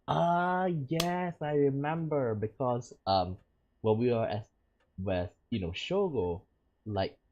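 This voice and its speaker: Husky, rambling man playing games. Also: lowish voice, highs cut off. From Malaysia. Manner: rambling, full of fillers like "like" and "you know". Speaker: VTuber gaming host. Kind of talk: let's-play commentary and reading game text.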